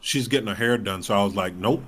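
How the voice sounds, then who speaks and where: A man in his thirties talking casually as a podcast guest, informal and blunt, with halting phrasing. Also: on the low side, a bit bright, breathy edge. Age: 30s. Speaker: podcast guest, stand-up comic. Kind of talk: casual conversational interview.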